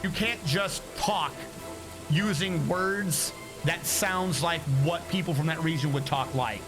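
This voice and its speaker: A young man with a high voice rambles chattily to his stream chat, stopping often to correct himself. Music plays clearly behind him as he talks. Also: slow pace, rough recording. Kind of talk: casual livestream chat.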